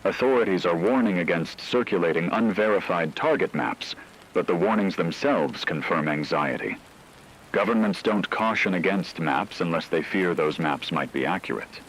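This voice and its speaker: Guy acting as deep voiced radio news anchor, solemn and formal, lively intonation, hissy emergency broadcast. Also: rough recording. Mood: grave, urgent. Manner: measured, solemn, formal delivery. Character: grave male radio anchor reporting a looming war.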